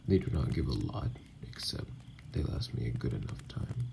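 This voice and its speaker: A man voicing a wounded, weary, distrustful vampire in an ASMR roleplay, speaking hesitantly in formal, guarded wording with a gravelly, sometimes breathy voice. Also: sing-song, moderate pace, dark tone, heavily acted. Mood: reluctant, weary. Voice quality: very deep, gravelly, breathy at times. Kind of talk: ASMR roleplay audio acting.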